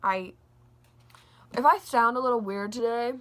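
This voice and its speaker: A woman's voice: on the high side, unhurried, fairly bright tone. Young woman with a scratchy throat. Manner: rambling, says like a lot, restarts often. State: scratchy, slightly gravelly throat. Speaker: book reviewer. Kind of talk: casual chatty book review vlog.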